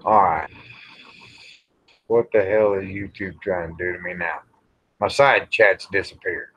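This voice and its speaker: A man rambles casually to livestream viewers in a folksy, grumbling way, pausing often. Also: low-pitched, steady tone, slightly rough, dry recording. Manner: folksy, grumbling, drawn-out with pauses. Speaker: livestream host. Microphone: small microphone near the face. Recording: dull, muffled livestream audio.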